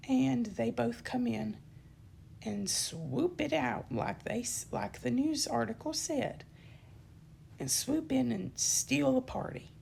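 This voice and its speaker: A young woman with a dry throat gives an indignant, emphatic campaign statement, often pausing with ums and correcting herself. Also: fairly high pitch, expressive, gravelly, darkish tone, slight background noise. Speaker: channel host giving a campaign statement. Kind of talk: unscripted spoken statement to camera.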